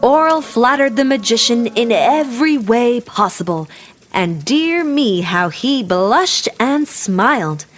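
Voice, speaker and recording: A woman storyteller giving an expressive, clearly phrased scripted fairy-tale narration for young listeners. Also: on the high side, takes it slowly. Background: clear music.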